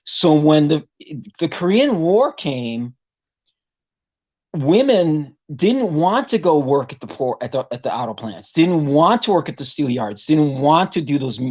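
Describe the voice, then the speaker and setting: A man's voice: on the high side, a little mellow, muffled recording. A middle-aged man from Puerto Rico with a tenor voice, a guest vocalist telling stories animatedly in a casual interview and acting out other people's voices.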